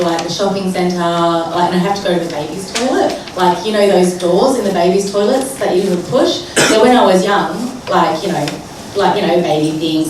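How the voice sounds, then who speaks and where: A woman interpreting for a deaf speaker in the first person, chatty and full of fillers. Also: on the low side. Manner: chatty, filler-heavy, amused. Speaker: sign language interpreter voicing a deaf speaker. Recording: echoey hall, far from mic, clear noise.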